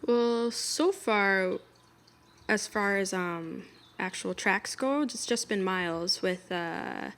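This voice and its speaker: A young woman from the United States, a singer guest on a podcast, talking in a relaxed, reflective way with frequent fillers. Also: medium pitch, slow pace. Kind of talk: casual interview talk.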